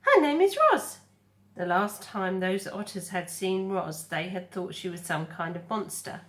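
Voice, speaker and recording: A woman reading a children's story aloud as narrator, in a careful, expressive manner. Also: fairly low pitch, a little slow. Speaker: storybook reader.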